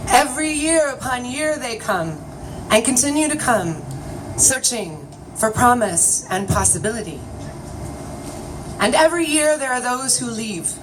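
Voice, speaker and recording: A woman's voice: fairly high pitch, animated, a little slow. A woman writer performing her own piece as a live spoken-word reading, with a theatrical, declamatory delivery. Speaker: writer and magazine editor performing own work. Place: city alley at a live event. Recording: distant mic, noisy outdoor ambience.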